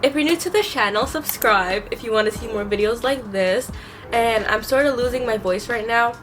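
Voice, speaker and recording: A woman's voice: fairly high pitch, moderate pace, fairly bright tone. A young woman vlogging while she does her makeup, rambling with lots of "like" and "um", recovering from being sick. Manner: rambling, many fillers like "like" and "um". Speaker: beauty vlogger. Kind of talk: casual chat while doing makeup. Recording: clear background music.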